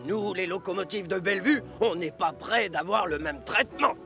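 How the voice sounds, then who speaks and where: A man's voice: high-pitched, moderate pace, vocal fry, bright, forceful tone, heavily acted. A man voicing a grumpy, complaining steam locomotive in a children's cartoon, with a grumbling, indignant delivery. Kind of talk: children's cartoon dub acting. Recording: music behind the voice.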